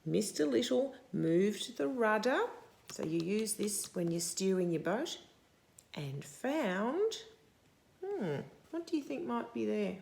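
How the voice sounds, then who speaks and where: A woman in her sixties reads a picture book aloud to young children in an expressive, deliberate way, stopping to put questions to them. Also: mid-range voice, gravelly. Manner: deliberate, animated, asking questions of young listeners. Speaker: storytime host reading a picture book to children. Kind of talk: expressive children's read-aloud with asides to the listener.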